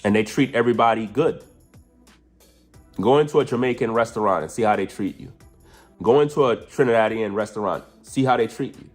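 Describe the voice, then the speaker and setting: A man with a fairly low voice, talking at an easy pace in a vlog clip. His delivery is relaxed and conversational, with faint music in the background. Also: brisk. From United States. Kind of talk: casual vlog talk.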